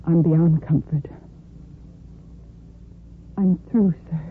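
An actress playing an exhausted, guilt-ridden young surgical nurse in a 1950s radio soap, halting and earnest. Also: female voice, sits low, heavily acted. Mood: anguished, guilty. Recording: muffled, noisy 1950s radio recording.

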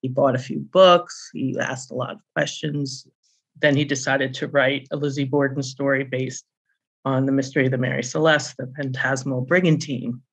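A woman's voice: very deep voice, steady tone, normal speed, breathy edge. A middle-aged or older woman speaking as an interview guest, reminiscing in an anecdotal, conversational way. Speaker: interview guest, author and publisher.

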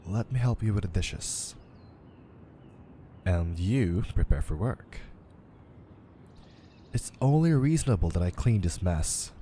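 A man's voice: low voice, expressive, slow, long pauses, warm tone, a little hiss or hum. A man playing a playful, flustered, affectionate young boyfriend in an ASMR roleplay, speaking intimately and breathily, often near a whisper. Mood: lazy, playful.

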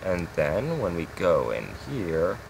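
A man's voice: low voice, sing-song, takes it slowly, creaky, slight background noise, low-quality recording. A man hosting his own game channel, giving a casual, rambling tour of his builds with lots of fillers.

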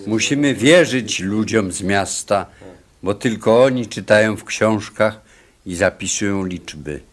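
An actor playing a solemn, wise village elder in a radio play, with a measured, grave delivery. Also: male voice, on the low side. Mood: solemn, commanding. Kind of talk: radio drama acting, solemn storytelling.

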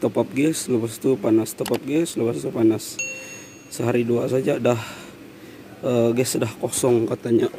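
A man's voice: mid-range voice, steady tone, normal speed, warm tone. A man in his thirties with a Malaysian accent, explaining a car air-conditioning repair step by step in a casual vlog, recorded on a handheld phone in a workshop. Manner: plain, step-by-step explaining with fillers. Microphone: handheld phone camera. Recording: noisy workshop background.

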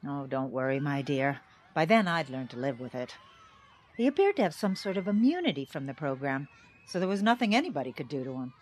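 A woman's voice: low voice, very animated, fairly quick, a little mellow. An actress playing a bitter middle-aged small-town teacher and mother, giving wry, theatrical testimony in an audio drama. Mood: wry, resentful.

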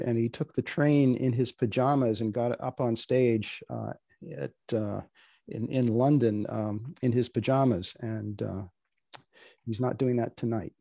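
An older man hosting an informal event over a video call, introducing things in a deliberate, dry manner. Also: medium pitch, warm tone, no room sound. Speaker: host introducing readers. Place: indoor room on video call.

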